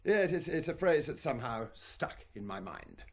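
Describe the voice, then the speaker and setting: A man's voice: a little high, very animated, moderate pace. An actor playing a polite, male broadcasting executive with a clipped, genteel manner. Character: plummy upper-class male broadcasting executive.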